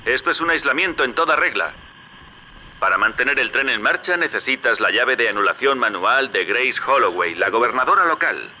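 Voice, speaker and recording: Sharp, animated Castilian hustler. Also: male voice, mid-range voice, a little fast, a little hiss or hum. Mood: briefing. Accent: Castilian Spanish. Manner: chummy, colloquial, salesman-like. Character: wily, folksy male hustler, chummy paternal accomplice. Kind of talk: video game character acting.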